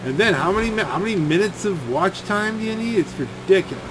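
A man's voice: high-pitched, animated, fast. A man rambling through off-the-cuff gameplay commentary in a grumbling, sardonic way. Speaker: gaming video creator. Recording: clear game vehicle and gunfire noise. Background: video game driving and shooting sounds.

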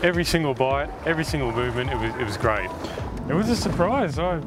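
A man chatting casually on a beach, talking in a halting, hesitant way. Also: a little high, expressive, loud music behind the voice. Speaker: fishing companion. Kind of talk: casual chat.

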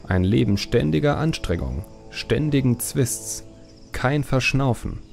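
A man's voice: medium pitch, lively intonation, a little slow, creaky, darkish tone. A man reading a fantasy audiobook as a dramatic, scripted narration. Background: faint music.

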